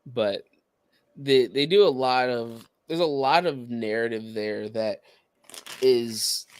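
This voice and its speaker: A man co-hosting a podcast, explaining things casually in a halting, searching way. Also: mid-range voice, clear, resonant tone. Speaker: podcast co-host.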